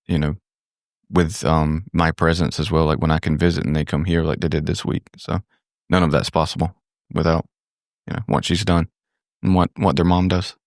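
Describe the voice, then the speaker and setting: A man with a slight Southern drawl talking on a podcast, soft-spoken and hesitant, often repeating his words. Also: a bass voice, no echo, studio quality. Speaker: guest, son in recovery. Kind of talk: casual podcast conversation.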